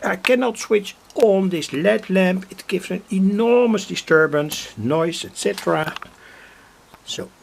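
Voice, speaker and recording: A man talks through a hands-on demonstration at a slow, unhurried pace. His voice sits a little high and has a gravelly creak. He rambles haltingly, like a hobbyist explaining his own build, and lets phrases trail away. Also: a little hiss or hum. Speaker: hobby radio builder and video host. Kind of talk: unscripted demonstration narration.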